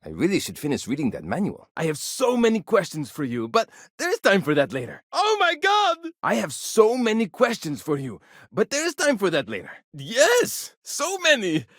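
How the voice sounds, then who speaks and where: A man voicing an eager, curious chief engineer of an aging colony starship in a video game, chatty and excitable. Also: high voice, moderate pace, no echo, studio quality, full character voice. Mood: amazed. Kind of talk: video game character dialogue.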